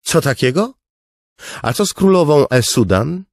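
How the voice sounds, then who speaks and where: A man's voice: a little high, very animated, a little slow, no echo, pitched above the performer's own voice, exaggerated voice. A man voicing an imperious, demanding young king in an audiobook, haughty and commanding. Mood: indignant.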